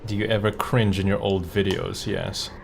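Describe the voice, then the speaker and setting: A man's voice: low voice, steady tone, normal speed, creaky, a little hiss or hum. A man chatting casually on a live stream as host, slangy and wry, rambling from one thought to the next. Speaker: streamer and voice actor. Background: faint music.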